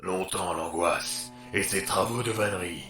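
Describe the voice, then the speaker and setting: An actor playing a gruff, distrustful, world-weary healer in an audio drama, blunt and sardonic. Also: male voice, deep voice, measured pace, a bit bright, faint music behind. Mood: solemn recital.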